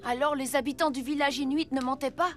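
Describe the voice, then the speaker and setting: High-pitched, earnest young boy. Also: steady tone, quick pace, fairly bright tone, soft background music. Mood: curious, wondering. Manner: innocent, polite, wondering. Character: earnest, curious young boy, Santa's apprentice. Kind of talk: French cartoon voice acting.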